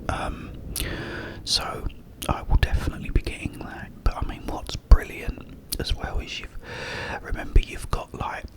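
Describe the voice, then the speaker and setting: A man whispering a casual ASMR ramble about games, breathy and up close to the microphone, in short halting phrases with pauses. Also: poor audio. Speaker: ASMR content creator.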